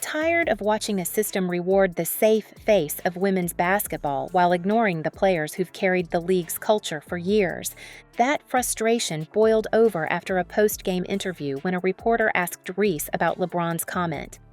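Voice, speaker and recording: A woman's voice: fairly low pitch, lively intonation, moderate pace, darkish tone. Woman narrating dramatic sports story over music.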